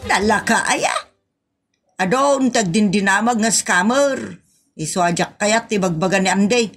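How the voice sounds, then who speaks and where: Actress as thrifty village wife, Ilocano accent, middle-pitched, wary, questioning, comedy radio-play delivery. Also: female voice, a little slow, a bit bright, faint music behind. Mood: suspicious. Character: thrifty, wary married village woman, haggling over money. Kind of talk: comedy audio drama acting.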